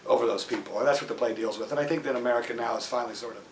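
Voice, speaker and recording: A man with a medium-pitched, fry-laden voice talks informally as a theatre director about a play. He sounds a little distant from the mic in an echoey room with faint noise. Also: very fast.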